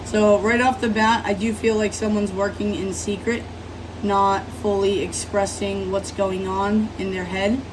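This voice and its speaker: A woman with a rough, hoarse voice from being sick, giving a casual, rambling tarot reading as host of her channel. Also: mid-range voice, little pitch movement, unhurried, recorded from a distance, background noise. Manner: rambling, apologetic, many fillers. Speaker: tarot reader.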